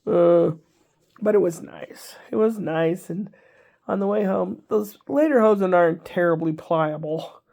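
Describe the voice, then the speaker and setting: A woman's voice: fairly low pitch, unhurried, vocal fry, warm tone. An older woman chatting casually to her camera, congested and stuffy, rambling along with wry asides. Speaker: vlogger.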